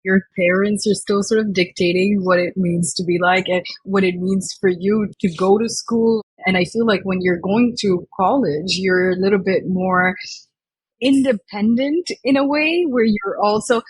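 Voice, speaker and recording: Female guest, 20s, from Dubai; husky, breathy voice; chatty storyteller; dry close recording. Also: medium pitch, normal speed, no room sound, poor audio. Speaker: guest, company founder. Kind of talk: podcast interview.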